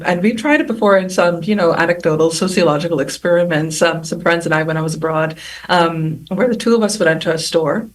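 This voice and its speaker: Woman on a panel, lowish voice, chatty, sounds a little far from the mic. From Bahamas. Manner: chatty, animated, many fillers and restarts. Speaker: panel guest. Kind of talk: roundtable discussion.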